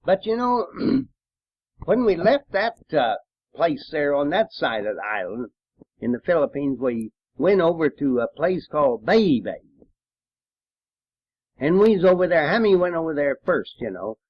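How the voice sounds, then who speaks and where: A man's voice: fairly high pitch, sing-song, unhurried, no room sound, muffled recording. An older man with a Southern American accent tells his war memories as a veteran, in a folksy, rambling, informal way. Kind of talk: informal oral-history storytelling.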